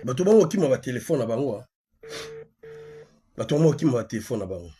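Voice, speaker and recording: A man from Congo with a Congolese accent and a husky voice, speaking insistently as a live-stream host, repeating phrases and asking rhetorical questions. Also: medium pitch, sing-song, a little fast, dark tone, a little hiss or hum. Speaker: online activist host. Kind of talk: live-stream monologue in colloquial speech, with a phone call.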